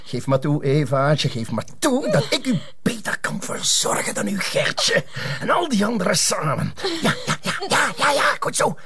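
A man playing a menacing, gloating thug in a crime drama, with a Flemish Belgian accent, a breathy voice and taunting manner. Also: high-pitched, big pitch swings, normal speed, bright, forceful tone, exaggerated voice. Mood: gloating menace. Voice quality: breathy, husky. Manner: taunting, insinuating.

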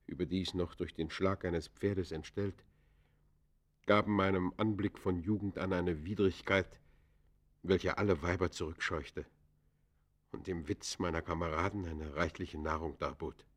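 An actor playing an embittered outcast poacher in a German radio play, confessing in formal, declamatory phrases with dramatic swells and hushed falls. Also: male voice, low-pitched, fairly even intonation, unhurried. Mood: bitter, confessional. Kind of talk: German radio play acting, literary monologue.

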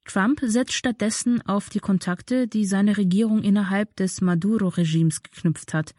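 A woman presenter reading a scripted political commentary aloud in a measured, formal way. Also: fairly low pitch, dark tone, no room sound, clean studio sound.

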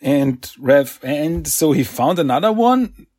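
A husky, slightly high-voiced man with a faint French accent recaps comics in a loose, chatty way, full of fillers and restarts and little acted-out quotes. The sound is dry and close, with no room around it. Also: lively intonation, slow pace, a little mellow, no room sound. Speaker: podcast host.

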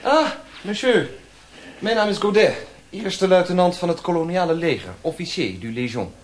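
An actor in a radio drama playing a refined, fussy French colonial lieutenant with an effusive, precious manner. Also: male voice, high voice, expressive, normal speed. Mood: polite, suave.

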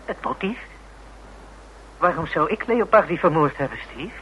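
An actor playing an anguished, cornered younger brother in a radio play, agitated, defensive and halting. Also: male voice, on the high side, lively intonation, muffled recording, lightly acted. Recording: noisy old radio drama recording.

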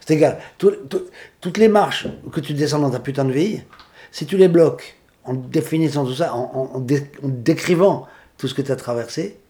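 An older man, a singer and grandfather, talking in a halting, rambling, blunt way in a casual interview. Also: fairly high pitch, warm tone. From Switzerland. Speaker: singer-songwriter guest.

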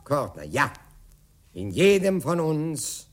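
An older man telling a theatrical anecdote, acting out the dialogue with dramatic pauses. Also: a little high, very animated.

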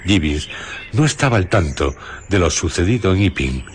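A man narrating a scripted radio drama in a measured, literary way. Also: deep voice, sing-song, slight background noise.

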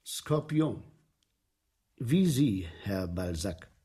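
An actor in a German radio play playing a gleeful, philosophizing sewer inspector, telling his macabre tale with husky, theatrical relish. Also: on the low side, big pitch swings, drawn-out words, mellow, exaggerated voice. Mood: sly, composed. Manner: savoring, theatrical storytelling with mimicry.

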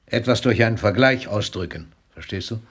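An actor playing an aging, famous poet in a German radio drama, a gruff but patient mentor with a measured, declamatory delivery. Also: male voice, on the low side, a bit bright, no echo. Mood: patient, explaining. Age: older.

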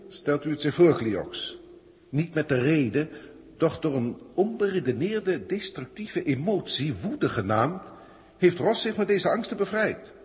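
An actor playing a pompous, pedantic alien senior scientist with stilted formal diction in a 1960s science-fiction radio play. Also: male voice, on the high side. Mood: astonished, musing. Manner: stilted, elevated, officialese diction. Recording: 1960s radio recording, long cavernous reverb.

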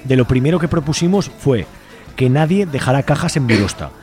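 A man's voice: medium pitch, expressive, a little fast, music in the background. A man chatting casually on the radio as a library volunteer, talkative and colloquial, often checking for agreement with question tags. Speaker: community library volunteer, interview guest. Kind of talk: casual spontaneous radio chat.

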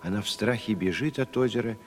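A man's voice: lowish voice, fairly quick. A man narrating a radio drama in a scripted storytelling style.

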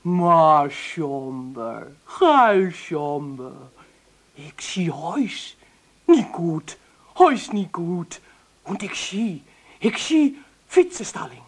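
An actress playing a phony mystical fortune-teller, speaking in dramatic broken phrases for a comic radio play. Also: female voice, on the low side, big pitch swings, heavily acted. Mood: solemn, portentous. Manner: slow, dramatic, broken sentences with repetition. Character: phony mystical fortune-teller Madame Veritas, theatrical and gloomy.

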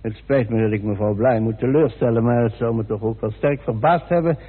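An actor playing a courteous, formal and wordy colonel in a radio drama. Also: male voice, medium pitch, fairly quick, slightly rough, lightly acted. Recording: tinny old radio recording.

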